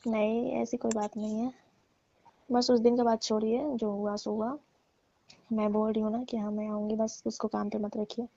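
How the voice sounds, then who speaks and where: A woman's voice: a little high, flat delivery, normal speed, vocal fry, dark tone. A woman, pleading deferentially in a private phone conversation. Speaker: domestic worker on a call with employer. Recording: muffled, roomy phone call recording.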